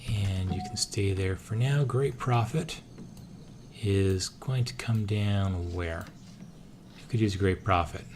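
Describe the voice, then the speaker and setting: A hoarse man casually thinking aloud, with many pauses and filler sounds, as he narrates his moves in a strategy game. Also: low-pitched, noisy background. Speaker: let's-play gamer.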